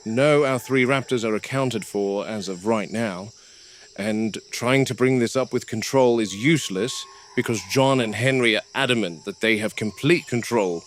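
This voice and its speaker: A man playing a weathered game warden who speaks tersely, quiet and subdued when upset. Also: lowish voice, normal speed, close to an everyday voice. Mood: calm. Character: weathered male park game warden, grim, practical. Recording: noisy, with crickets and insects behind.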